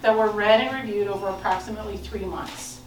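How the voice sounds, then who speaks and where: A woman's voice: medium pitch, fairly even intonation, brisk. An American woman reading a prepared official statement aloud in a formal style at an indoor meeting. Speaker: school board president. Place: indoor board of education meeting room. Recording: roomy, noisy, distant meeting-room recording.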